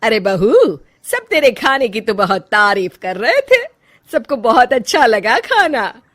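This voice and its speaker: A woman voicing a demanding middle-aged mother-in-law in a cartoon story, talking loudly and giving orders. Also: a little high, sing-song, unhurried, full character voice. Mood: bossy. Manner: loud, commanding, fussy.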